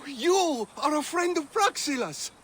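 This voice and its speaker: An actor playing a grateful, excitable young apprentice healer, a naive man, in a video game. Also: very high-pitched, unhurried, heavily acted. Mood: excited, grateful. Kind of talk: video game cutscene acting.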